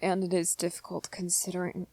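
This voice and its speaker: A woman playing a shy, anxious young male vampire boyfriend in an ASMR roleplay, soft-spoken and hesitant, with apologetic stammers. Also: fairly low pitch, completely flat delivery, brisk, no room sound. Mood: bashful, fond. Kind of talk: ASMR roleplay, scripted one-sided dialogue to listener.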